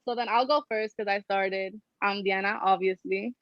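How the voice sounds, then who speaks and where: A woman's voice: medium pitch, fairly even intonation, normal speed, no echo, muffled recording. A young woman from New Jersey hosting a casual podcast chat, talking in a slangy, upbeat, joking way. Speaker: podcast host.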